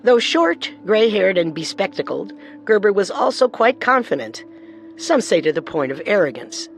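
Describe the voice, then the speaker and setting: A woman co-hosting a podcast, giving scripted true-crime narration with deliberate, dramatic storytelling. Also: mid-range voice, big pitch swings, soft background music.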